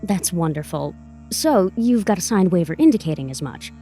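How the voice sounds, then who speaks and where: Woman acting swooping, mellow-toned flippant lady lawyer. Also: on the low side, expressive, normal speed, lightly acted. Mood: breezy, sarcastic. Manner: breezy, sarcastic, theatrical. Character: brash, flippant, self-assured woman lawyer. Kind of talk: dramatic audio drama acting. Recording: faint music behind the voice.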